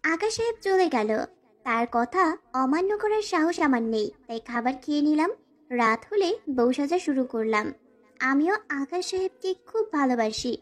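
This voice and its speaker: A woman's voice: high-pitched, animated, normal speed. A woman playing a frightened, pleading young student bride in a dramatized first-person romantic audio story. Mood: resigned, wistful. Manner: plaintive, pleading.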